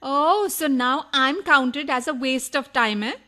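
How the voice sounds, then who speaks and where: A woman's voice: high voice, unhurried, sharp tone. A woman acting a needy, nagging burglar's wife in a radio farce, reproachful and wheedling in a melodramatic way. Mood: wounded, reproachful. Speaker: radio play actor. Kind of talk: farcical radio play acting.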